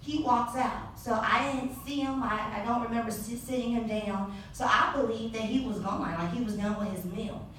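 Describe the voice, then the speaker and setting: A woman's voice: fairly high pitch, normal speed, hardly any pauses, a little hiss or hum. Young woman telling a sassy story in an echoey hall. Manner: animated, sassy, laughing asides. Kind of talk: live personal storytelling. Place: live event venue. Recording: echoey hall, distant mic.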